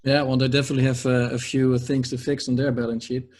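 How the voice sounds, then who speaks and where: A man's voice: medium pitch, little pitch movement, quick pace, darkish tone. A man hosting an interview, asking probing questions in a conversational way. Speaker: host.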